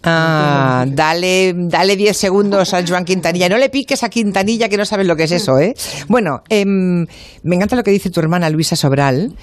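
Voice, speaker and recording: Playful radio host, lightly high voice. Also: male voice, normal speed. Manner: playful, joking. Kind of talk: casual radio interview. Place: radio studio.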